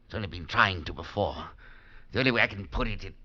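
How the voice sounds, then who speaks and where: An actor playing a haunted, terrified older professor in a 1940s radio drama, halting and breaking off his words in dread. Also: low voice, bright, forceful tone. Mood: shaken, dread. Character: haunted aging male professor, terrified and shaken. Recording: dull old radio broadcast.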